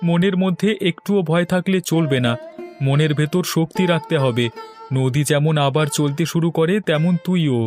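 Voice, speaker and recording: A man's voice: a little high, moderate pace, darkish tone, soft background music, lightly acted. A man voicing a hopeful, encouraging village fisherman friend in a cartoon story.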